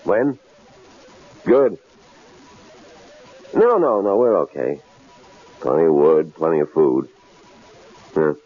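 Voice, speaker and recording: A man acting a shrewd, self-satisfied middle-aged professor in a radio drama, with a gravelly voice and a halting, folksy delivery. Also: extremely low pitch, big pitch swings, heavily acted. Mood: relieved, reassuring. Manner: halting, repeats words. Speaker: actor. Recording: dull, noisy vintage radio drama recording.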